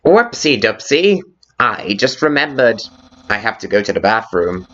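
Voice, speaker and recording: A man's voice: on the low side, big pitch swings, unhurried, fairly bright tone. Game fan dub: childlike male skeleton acted by a man, eager, pompous, exclaiming, dull lo-fi recording. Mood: cheerful. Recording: muffled lo-fi audio with background music.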